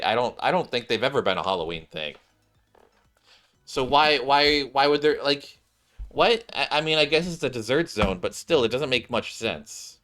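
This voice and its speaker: A man's voice: mid-range voice, fairly bright tone. A groggy man streaming a game, giving rambling, self-deprecating asides as he stumbles through reading the script aloud. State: groggy, just woke up.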